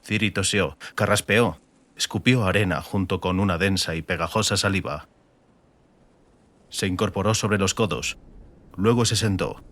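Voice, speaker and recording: A man's voice: sits low, normal speed, pauses often, fairly bright tone. A man narrating a fantasy novel as a scripted, dramatic audiobook.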